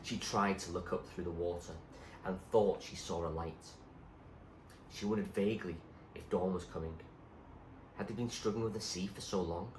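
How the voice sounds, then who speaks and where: A man reading a story aloud in an expressive, suspenseful narrating style. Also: deep voice, moderate pace, pauses often. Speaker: reader, teacher. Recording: echoey room with faint background noise.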